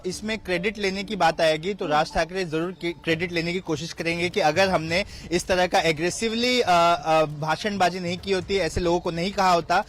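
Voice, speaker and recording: A man giving an unscripted live news report, halting often with fillers and repeating his phrases. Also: high voice, fairly even intonation, fairly bright tone.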